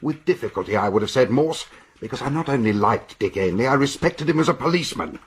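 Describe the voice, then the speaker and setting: A man's voice: mid-range voice, lively intonation, quick pace, slightly rough. An actor playing a stern senior police officer in a radio drama, scolding and commanding his inspector.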